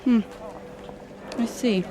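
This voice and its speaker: An actress playing a brisk, officious airline check-in agent in a radio drama, formal and businesslike. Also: female voice, background noise.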